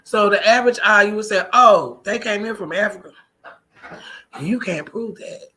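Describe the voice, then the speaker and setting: American woman vlogger with a bright, forceful voice. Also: medium pitch, normal speed. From United States. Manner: emphatic, colloquial, conversational. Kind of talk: casual personal vlog monologue.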